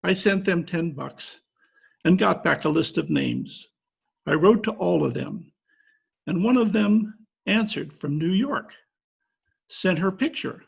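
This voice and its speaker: An actor playing a gullible, jilted fisherman from Maine in a radio play, halting and rueful, with a gravelly, husky voice. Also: high-pitched, lively intonation, exaggerated voice. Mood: lovelorn, rueful. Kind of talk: old-time radio drama acting. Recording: remote home recording over video call.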